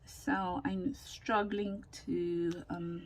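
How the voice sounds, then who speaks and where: Slow-talking woman with a sore throat. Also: fairly low pitch, level tone, low-quality recording. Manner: slow, chatty, with frequent ums and laughs, drifting into whispers. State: recovering from lost voice, sore throat. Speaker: craft vlogger. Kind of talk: casual crafting tutorial chat.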